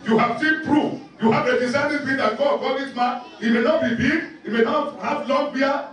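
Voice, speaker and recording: A man preaching a sermon as a pastor, emphatic and repetitive, exhorting his listeners. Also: very high-pitched. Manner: emphatic, repetitive, numbered exhortations with scripture quotes. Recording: very echoey room, distant microphone.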